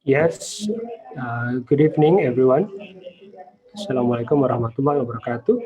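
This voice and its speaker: A man's voice: medium pitch, animated, a little fast, crowd noise. A man from Indonesia with an Indonesian accent, politely asking a question on an online panel, with many fillers. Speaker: audience member asking a question. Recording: tinny phone-like call audio.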